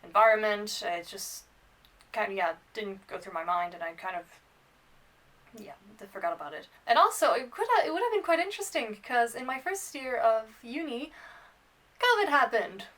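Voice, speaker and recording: A woman's voice: on the high side, animated, normal speed, fairly bright tone. A woman in her twenties, bilingual in French and English, chatting casually to camera in a rambling, hesitant, self-deprecating way as a student vlogger. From France and Ireland. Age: 20s. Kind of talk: casual unscripted vlog chat to camera. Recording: slightly distant microphone.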